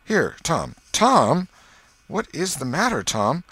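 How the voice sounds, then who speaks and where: A man's voice: on the high side, big pitch swings, slow pace, a bit bright, slightly rough, dry recording, voice pushed up, exaggerated voice. A man voicing a gullible young boy, worried and pleading, with an urgent stammer. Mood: urgent, puzzled.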